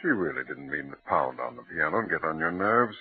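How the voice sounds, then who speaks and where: A man playing a gentle, indulgent husband and doting father in an old-time radio drama. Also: very deep voice, expressive, fairly quick, breathy edge, exaggerated voice. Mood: patient, reassuring. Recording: tinny old radio recording.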